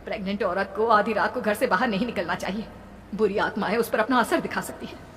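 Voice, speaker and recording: A woman's voice: mid-range voice, a little fast, close to an everyday voice. A woman voicing a kindly, anxious aunt in a horror story, concerned and questioning. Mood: concerned, caring. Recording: long reverb, background noise.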